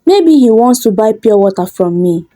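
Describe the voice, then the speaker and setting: A woman's voice: mid-range voice, very animated, moderate pace, warm tone, no room sound, studio quality, soft background music, natural voice. A woman voicing a determined, hopeful, earnest orphan village girl in a folktale.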